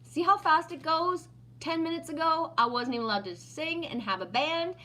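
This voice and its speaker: A woman's voice: extremely high pitch, moderate pace, bright, forceful tone. A woman in her fifties from Texas, hosting a casual livestream, teasing playfully, laughing often and reading out chat comments. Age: 50s.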